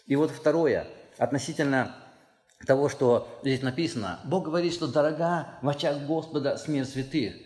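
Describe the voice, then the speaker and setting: A man's voice: normal speed, a little mellow. Male pastor, mid-range voice, earnest sermon delivery that builds in emphasis, long reverb of a church hall. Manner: earnest, emphatic, rises in pitch and force when stressing a point.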